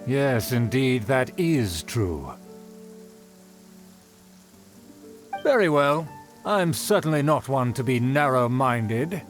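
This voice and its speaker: A man's voice: medium pitch, lively intonation, slow pace, faint music behind. An actor playing a haughty, self-important aristocratic man in a video game, speaking pompously in formal, old-fashioned phrases. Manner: pompous, formal archaic diction.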